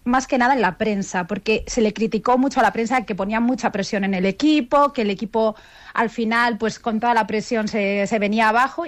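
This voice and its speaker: A woman from giving a conversational live report as a radio correspondent. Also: fairly high pitch.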